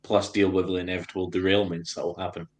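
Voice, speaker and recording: A man's voice: low voice, level tone, fast. A man, a guest on a casual stream chat, explaining his plans in an easygoing way.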